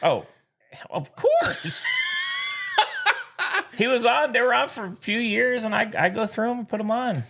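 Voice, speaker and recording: Animated, playful man bantering on a podcast. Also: very high-pitched, unhurried, vocal fry, pauses often, raised voice, slight background noise. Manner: playful, exuberant, jokey, theatrical catchphrases. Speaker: podcast co-host. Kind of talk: casual banter.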